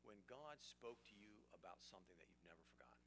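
A man's voice: lowish voice, expressive, fast. A man hosting a casual panel discussion on a chapel stage, putting questions to the guests. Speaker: host, panel moderator. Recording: noisy live stage, audible hum.

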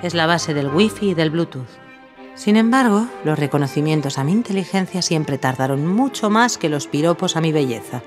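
An actress playing a witty, glamorous film-star inventor in a radio drama, with playful, theatrical delivery. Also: female voice, deep voice, animated, moderate pace, soft background music. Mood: wry, reflective. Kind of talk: radio drama acting and first-person narration.

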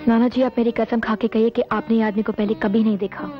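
A woman's voice: on the high side, little pitch movement, a little fast, lightly acted. An actress playing a distressed, insistent woman in Hindi film melodrama, pleading and emphatic. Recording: dull lo-fi film soundtrack, music, background voices.

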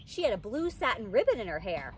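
A woman's voice: medium pitch, quick pace. A young woman reading a children's book aloud like a teacher, expressively acting out the characters. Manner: animated, acts out the characters. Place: outdoors under a tree, in a wicker pod seat. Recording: outdoor background noise.

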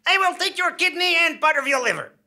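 A piercing, high-pitched witch of the forest. She is imperious and blunt, swooping up and down as she orders and haggles, in broad theatrical comedy style. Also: animated, fast, sharp tone, full character voice. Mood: bargaining, brusque. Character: bossy, brusque witch of the forest, female. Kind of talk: theatrical live comedy audio drama.

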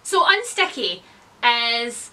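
Bright, blunt woman chatting about books. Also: fairly high pitch, big pitch swings, slow. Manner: blunt, opinionated, wry, mildly sweary. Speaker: book reviewer, video host. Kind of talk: casual chatty book discussion. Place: indoors, room lined with bookshelves.

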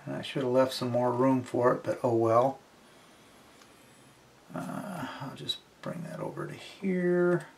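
A man's voice: medium pitch, very animated, a little slow, gravelly, long pauses, slight background noise. A middle-aged man giving a casual hands-on tutorial, informally thinking aloud as he talks himself through each step. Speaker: instructor. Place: indoors at a wooden workbench.

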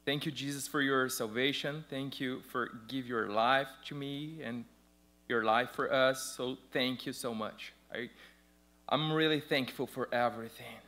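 A man in his thirties with a Brazilian Portuguese accent speaks English earnestly and a little hesitantly from a church pulpit. His voice is mid-pitched and bright. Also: steady tone, measured pace. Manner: earnest and careful, slightly hesitant. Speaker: church member giving testimony. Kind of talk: personal testimony and prayer. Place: church sanctuary stage, at a pulpit.

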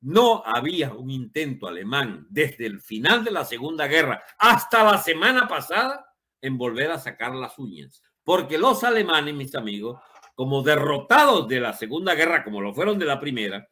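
A man's voice: on the high side, sharp tone. A man in his seventies giving an opinionated, unscripted political monologue in an emphatic way, with rhetorical questions and colourful wording. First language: Spanish. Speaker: political commentator.

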